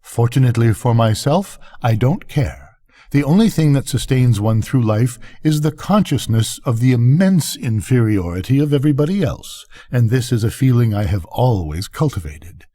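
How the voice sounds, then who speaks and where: A man's voice: mid-range voice, big pitch swings, moderate pace, warm tone. Older man as a pompous, swooping storybook rocket. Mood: indignant. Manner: pompous, indignant, declamatory. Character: vain, pompous, self-pitying male firework rocket.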